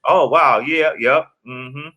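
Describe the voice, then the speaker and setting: A man, talking in a rambling, relaxed way full of "you know" fillers as a guest in an interview. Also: medium pitch, slow, dull audio. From South Central Los Angeles. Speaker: guest rapper. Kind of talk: casual interview talk.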